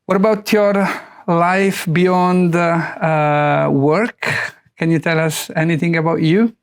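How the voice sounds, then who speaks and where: A man's voice: on the high side, takes it slowly, clear, resonant tone. A middle-aged man interviewing a guest in a conversational style, searching for his words with a hesitant delivery.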